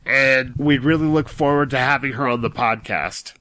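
A man co-hosting a podcast, speaking in a measured, somewhat formal way. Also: medium pitch, bright, forceful tone, dry recording. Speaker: podcast co-host. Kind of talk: conversational podcast announcement.

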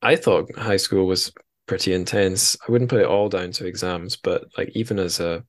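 A man's voice: sits low, steady tone, normal speed, no echo. A man hosting a podcast in casual conversation, informal and a little self-deprecating. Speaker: podcast host.

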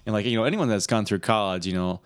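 A young man with a low voice and a Midwestern American accent co-hosts a podcast. He talks informally, wandering through his stories in a loose, conversational way. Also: fast talker, clean tone. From Michigan, US Midwest. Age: early 20s. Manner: rambling, informal storytelling. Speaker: podcast co-host. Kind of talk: casual conversation.

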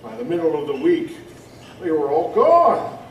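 A man's voice: fairly high pitch, huge pitch swings, moderate pace, lo-fi sound. A man playing a frightened, agitated sailor in an audio drama, stammering and emphatic. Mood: grim, insistent. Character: shaken, frightened sailor swearing off the sea. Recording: roomy, distant, faint background noise.

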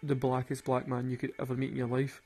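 A man's voice: mid-range voice, completely flat delivery, fast, darkish tone, husky, soft background music. A man with a Glaswegian Scottish accent, rambling and swearing through casual stories and laughing at his own jokes. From Glasgow, Scotland. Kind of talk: casual storytelling monologue.

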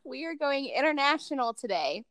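A woman's voice: sits high, sing-song, moderate pace, a bit bright. A young woman from Ohio hosting a podcast, chatty and giggly, saying "like" a lot and sounding a bit under the weather. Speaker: podcast host. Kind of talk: casual chat.

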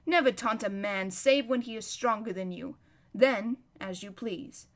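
A woman's voice: mid-range voice, a little slow, natural voice. A woman voicing a dignified, fatherly older king in a storybook, firm and chiding in old-fashioned courtly speech. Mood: fatherly reproof. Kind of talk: storybook read-aloud.

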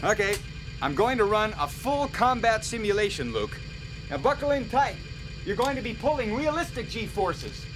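An actor in a radio drama playing a friendly, confident rebel fighter pilot with a hearty, encouraging way of talking. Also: male voice, high-pitched, very animated, moderate pace, noisy background. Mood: brisk, explaining.

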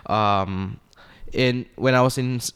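A man's voice: medium pitch, slow pace, clean tone. A young man from Indonesia with a Singaporean English accent, chatting casually as a guest with lots of fillers. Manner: relaxed, rambling, frequent fillers. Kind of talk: casual chat.

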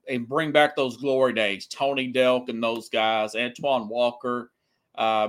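A man's voice: medium pitch, fairly bright tone. A man in his forties hosting a sports talk show, giving casual, emphatic opinions and telling anecdotes in a conversational way. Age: 40s.

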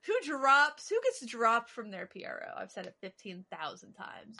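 Bright-voiced teasing woman co-host. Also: on the high side, vocal fry, slightly rough. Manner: teasing, chatty, laughs easily. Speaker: podcast co-host. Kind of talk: casual chat.

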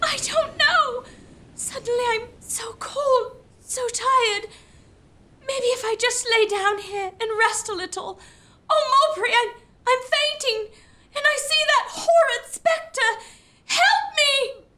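A woman's voice: extremely high pitch, takes it slowly, pushed and bright, full character voice. A woman playing a sweet, melodramatic young heroine in love in a gothic comedy audio drama. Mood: frightened, fainting.

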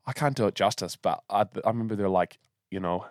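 A man's voice: on the low side, a little fast, dry recording, studio quality. Rambling laid-back New man. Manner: rambling, laughing, swears, trails off and restarts. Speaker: podcast co-host. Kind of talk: casual banter.

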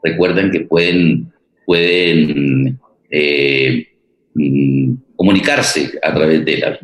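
A man with a Colombian accent hosting a live programme, speaking in a halting, deliberate way and often repeating words. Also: extremely low pitch. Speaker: host of a radio theatre programme. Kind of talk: live presentation to an online audience. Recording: roomy, echoing voice.

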